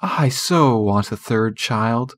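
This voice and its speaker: A man voicing a gentle, placid mother from a storybook, tender and wistful in manner. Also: medium pitch, takes it slowly, no room sound, studio quality, natural voice. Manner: soft-hearted, placid.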